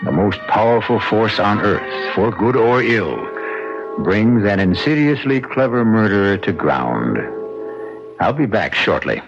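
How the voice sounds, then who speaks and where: A dull old radio broadcast of a man narrating over prominent music. He has a low voice and the measured, theatrical delivery of a mystery host. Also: sing-song. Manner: measured, portentous.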